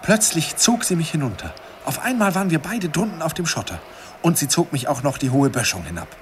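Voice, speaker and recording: A man's voice: on the high side, a little fast. An actor playing a wistful man narrating his youthful romance in a radio play, lyrical in manner. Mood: excited, urgent. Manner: lyrical, animated storytelling. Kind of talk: dramatic first-person radio play narration. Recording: slightly noisy old radio recording.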